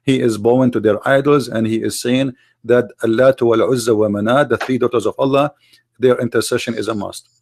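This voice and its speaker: A man who grew up speaking Arabic argues in halting, non-native English full of fillers. His mid-pitched voice has a gravelly, fry-laden edge. He talks as a podcast guest making a case. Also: steady tone, darkish tone, slight background noise. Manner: argumentative, non-native grammar, many fillers. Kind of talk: podcast interview.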